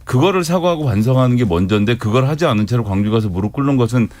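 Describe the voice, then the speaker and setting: A man's voice: medium pitch, animated, rapid-fire, darkish tone. A party spokesman in a radio studio debate, a man making a pointed, critical argument.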